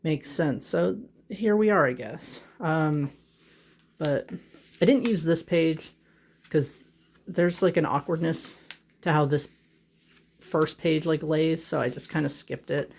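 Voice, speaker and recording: A young adult sits in a home office and talks into a phone held in their hand, chatting casually and drifting from thought to thought. Their speech is full of "like" and "um," soft and a little self-conscious, and it often sinks into gravelly fry at a middle pitch. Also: slow pace, pauses often, slight background noise. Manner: rambling, full of "like" and "um" fillers. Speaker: vlogger. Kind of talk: casual chat.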